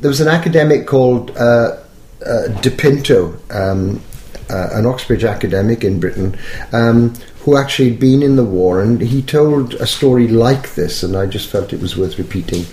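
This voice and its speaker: A man's voice: medium pitch, animated, a little mellow, a little hiss or hum. Educated Welsh man in an echoey room. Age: middle-aged or older. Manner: thoughtful, halting, self-correcting. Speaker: poet being interviewed. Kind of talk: reflective interview talk.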